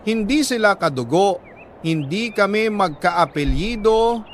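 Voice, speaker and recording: A Filipino man reads a first-person letter as dramatic scripted narration, in short, measured phrases. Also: sits high, lively intonation, a little hiss or hum.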